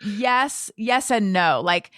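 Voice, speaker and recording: Animated woman podcaster, dry close sound. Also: medium pitch, slow pace, no room sound. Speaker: podcast host. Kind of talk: casual conversational interview.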